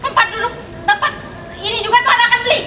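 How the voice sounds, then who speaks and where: A woman's voice: extremely high pitch, quick pace. A young woman playing a flustered, indignant married lady in a stage drama, exclaiming theatrically. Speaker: student stage actor. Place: outdoor car park stage. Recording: distant echoey stage recording with loud background noise.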